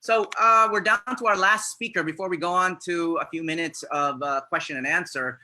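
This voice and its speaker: Male panel moderator, very bright forceful tone, halting delivery full of fillers. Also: high-pitched.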